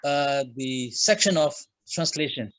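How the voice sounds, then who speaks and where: A man from Myanmar with a Burmese accent, a guest author answering interview questions in a halting way with many fillers. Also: medium pitch, fairly bright tone, no room sound. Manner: halting, frequent uh fillers, simple phrasing.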